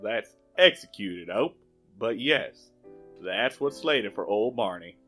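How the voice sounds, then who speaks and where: A man's voice: fairly low pitch, animated, takes it slowly, fairly bright tone, soft background music, pitched below the performer's own voice. A man playing a folksy, drawling small-town sheriff and patient father in a comic audio drama. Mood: gentle, patient. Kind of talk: audio drama character reading.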